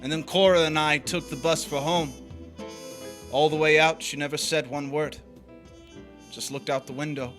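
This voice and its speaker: A man's voice: a little high, steady tone, a bit bright, faint music behind, lightly acted. Man acting a tense, guilt-ridden noir drifter. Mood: grim, recounting. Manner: hesitant, halting in dialogue. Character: uneasy guilt-ridden drifter, narrating his crime confessionally. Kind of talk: noir stage drama narration and dialogue.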